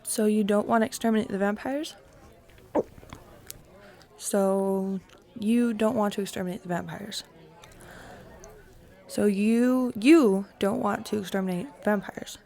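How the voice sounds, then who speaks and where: A woman playing an awkward, friendly high school girl in an audio drama, speaking hesitantly. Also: steady tone, unhurried, creaky, pauses often, dark tone. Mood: puzzled rehearsing. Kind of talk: scripted audio drama acting.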